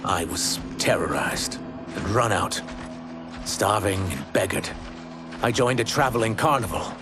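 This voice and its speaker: An actor voicing a remorseful reptilian shapeshifter in human form, earnest and formal, in dramatic video game style. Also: male voice, on the low side, lively intonation, measured pace, music in the background. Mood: sorrowful. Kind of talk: video game cinematic acting.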